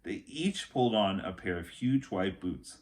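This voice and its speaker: A middle-aged man reading a children's chapter book aloud as a teacher would, with expressive narration. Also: low voice. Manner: slow, expressive narration with acted dialogue. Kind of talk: children's story read-aloud. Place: small room at home, indoors.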